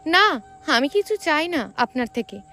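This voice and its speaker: A woman's voice: high-pitched, sing-song, measured pace, pushed and bright, full character voice. A woman narrator voicing a feisty, reluctant young romantic heroine who protests defiantly in an audio story.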